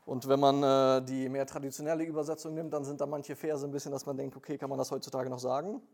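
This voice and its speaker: Hesitant young preacher with a mellow voice. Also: male voice, mid-range voice, level tone, quick pace. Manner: hesitant, many fillers, plain earnest delivery. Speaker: lay preacher and missionary. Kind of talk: informal church sermon with prayer.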